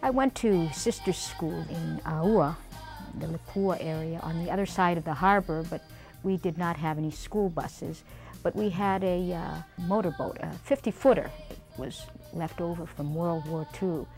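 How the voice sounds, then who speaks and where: A woman from American Samoa recalling her upbringing in an interview, speaking in a measured way with pauses and fillers. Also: fairly low pitch, darkish tone, faint music behind. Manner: measured, unhurried, pausing with fillers. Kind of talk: reminiscing interview.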